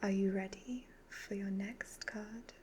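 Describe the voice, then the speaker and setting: A woman playing a sly, commanding evil witch fortune teller in a soft-spoken ASMR roleplay, half-whispering slow, measured instructions. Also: medium pitch, steady tone, a little hiss or hum, natural voice. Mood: soothing, commanding.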